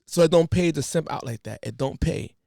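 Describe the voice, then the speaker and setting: A man's voice: a little high, a little fast, gravelly, no echo, clean studio sound. Slangy ranting man on a podcast. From United States. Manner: animated, preachy, colloquial slang. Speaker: podcast host. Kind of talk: casual podcast rant.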